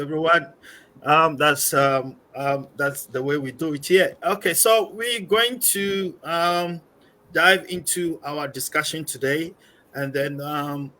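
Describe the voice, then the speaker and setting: A man's voice: fairly high pitch, pushed and bright, a little hiss or hum. A man hosting an online panel discussion, introducing guests in a loose, upbeat way with many fillers. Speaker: panel moderator.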